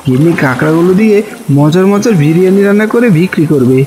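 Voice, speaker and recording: A man's voice: a little high, lively intonation, fast, darkish tone, faint music behind, lightly acted. A man voicing a cheerful, plain-spoken village husband in a cartoon fairy tale. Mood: pleased.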